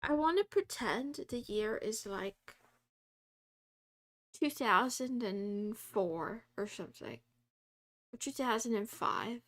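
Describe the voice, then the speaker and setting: A woman streams herself coding and chats the whole time in a rambling way, full of fillers. Her voice sits a little high and she takes her time. She answers her viewers and laughs often. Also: animated, takes it slowly, long pauses, fairly bright tone. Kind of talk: casual live-stream chat while coding.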